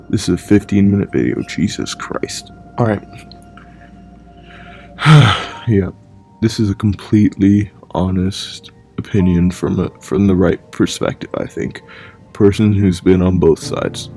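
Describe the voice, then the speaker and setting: A man talking bluntly in a casual monologue for his vlog, rambling and swearing freely, sometimes speaking low and hushed at home at night. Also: vocal fry. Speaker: vlogger.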